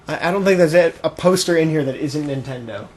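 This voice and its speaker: A man's voice: fairly high pitch, fairly quick, a little mellow. A man co-hosting a casual gaming podcast, bantering informally and teasing his friend. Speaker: podcast co-host. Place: one-room apartment.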